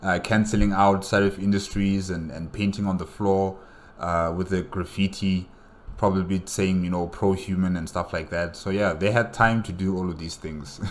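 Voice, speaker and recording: A man with a low-pitched voice reacts casually to what he is watching. He thinks out loud, hesitating and musing, and poses rhetorical questions as he goes. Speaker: host reacting to a game movie.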